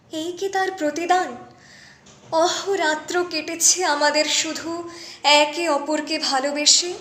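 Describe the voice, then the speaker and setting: Woman doing a poem as proud wronged epic queen, super high voice, anguished n accusing, formal dramatic delivery, echoey recording. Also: a bit bright. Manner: theatrical, formal diction with weighted pauses. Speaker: poetry reciter. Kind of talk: dramatic Bengali poem recitation, monologue.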